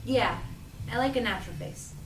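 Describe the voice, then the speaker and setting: A young woman playing an earnest, self-doubting young shop worker in an audio drama read-through, speaking in an informal, expressive way. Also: fairly high pitch, normal speed, lightly acted. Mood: awkward, friendly. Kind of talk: audio drama cast reading. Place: echoey room at a cast read-through. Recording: roomy, echoing, distant mic, background noise.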